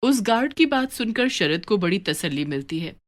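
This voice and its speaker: Expressive woman storyteller. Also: medium pitch, normal speed. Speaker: narrator. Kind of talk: scripted storytelling narration.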